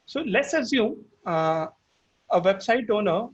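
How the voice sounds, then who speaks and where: A man's voice: high voice, animated, a little slow, slightly rough, highs cut off. A man with an Indian accent and Hindi as his first language, giving a webinar product demo, explaining step by step with frequent restarts. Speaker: product presenter.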